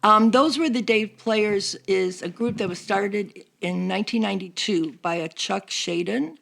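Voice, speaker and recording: An older American woman speaks conversationally as a member of a church seniors' group. Her voice has a noticeable fry to it, at an ordinary pitch for a woman. She talks as if announcing an event to friends. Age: older, over 50.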